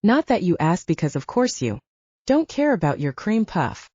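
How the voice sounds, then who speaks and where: A blunt young male butcher from an audiobook, played by a woman, teasing yet protective. The voice is lowish and mellow, with a lively swing to the lines. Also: moderate pace, breathy edge, no echo, highs cut off. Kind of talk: audiobook character dialogue.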